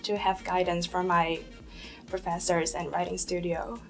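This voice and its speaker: This is a young woman from southern China whose first language is Cantonese, speaking about her studies in a documentary interview. She talks animatedly, hesitating now and then with fillers. Soft music plays faintly behind her. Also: lowish voice, level tone, unhurried, rough recording. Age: young adult. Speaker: first-year student.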